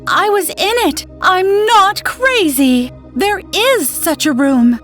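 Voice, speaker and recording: An actress voices a frightened woman scientist in an old-time radio horror tale. Her voice is high and her delivery slow and dramatic, insistent and pleading. Soft music plays underneath. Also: animated, full character voice. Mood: desperate insistence.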